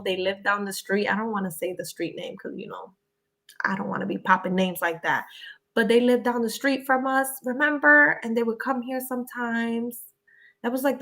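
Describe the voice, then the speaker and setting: A woman's voice: a little high, pushed and bright. A young woman from Connecticut whose first language was Spanish, chatting casually as she reads out and answers viewer questions on a live stream. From Connecticut, USA. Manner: chatty, reads comments aloud, laughs often.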